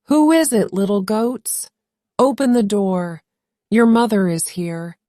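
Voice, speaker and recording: A woman's voice: medium pitch, slow, dark tone, no room sound, clean studio sound, close to an everyday voice. A woman voicing a cunning male wolf pretending to be the mother goat, coaxing and falsely sweet.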